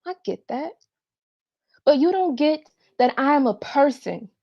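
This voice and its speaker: A woman's voice: high voice, expressive, a little slow, vocal fry, heavily acted. A woman from Georgia in the United States auditioning with a dramatic monologue as a hurt, long-suffering caregiver. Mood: hurt, pleading. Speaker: auditioning actor. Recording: dull lo-fi online call.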